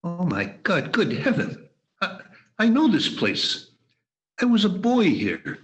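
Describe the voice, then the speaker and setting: A man's voice: high voice, huge pitch swings, slow pace, dull audio, full character voice. Man acting a flustered, stammering old miser. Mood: overjoyed. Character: nervous, remorseful old miser, male. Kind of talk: radio play acting.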